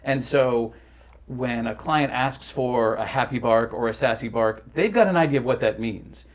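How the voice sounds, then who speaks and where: A man's voice: mid-range voice, normal speed. A man, a voice actor in an interview, explaining things in casual chat with an articulate, wry manner.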